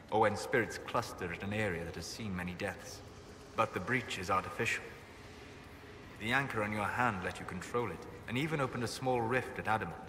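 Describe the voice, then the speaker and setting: An actor playing a calm, scholarly elven mage in a fantasy game, speaking in a measured, formal, lecturing manner. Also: male voice, on the low side, a little hiss or hum. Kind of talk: scripted fantasy game dialogue.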